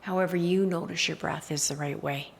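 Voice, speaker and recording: Low-voiced woman guiding meditation, gravelly fry. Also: steady tone, fairly quick, slight background noise. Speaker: guided meditation guide. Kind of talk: guided meditation, hypnotic induction.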